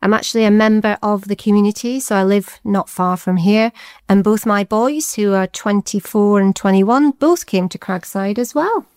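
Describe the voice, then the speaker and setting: A woman's voice: medium pitch, moderate pace, darkish tone, smooth, no echo, studio quality. A Scottish woman in her forties, a deputy head teacher, answering interview questions in a chatty way with many fillers. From Scotland.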